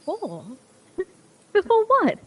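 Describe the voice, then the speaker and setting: A woman acting in a gothic radio drama as an innocent, curious young gentlewoman with a hesitant, polite way of speaking. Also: extremely high pitch, wildly swooping pitch, slow pace, heavily acted.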